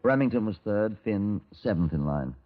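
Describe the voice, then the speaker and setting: An actor plays a senior detective in a 1950s radio play. His low voice moves expressively through formal, dry, commanding speech. The sound is dull and lo-fi. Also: expressive, normal speed, lightly acted. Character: senior police detective, authoritative, dry, brisk superior. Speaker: detective narrating his case. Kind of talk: 1950s radio drama acting and scripted narration.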